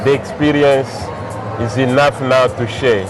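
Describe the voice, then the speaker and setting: A soft-spoken man from DR Congo, agreeing often as he answers. His voice sits at a middle pitch, with clear music behind it. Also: fairly even intonation, unhurried, a crowd in the background. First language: French. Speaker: author and evangelist. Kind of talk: interview answers. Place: mall expo.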